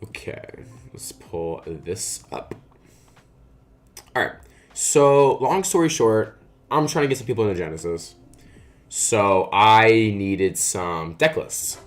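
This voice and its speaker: A young man with a lowish, raspy voice that still sounds like early morning. He talks loosely and casually into a desk mic, wandering through thoughts with plenty of filler words. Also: animated, takes it slowly, long pauses. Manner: rambling, lots of fillers like "uh" and "like". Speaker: card game streamer. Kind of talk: casual live-stream chat.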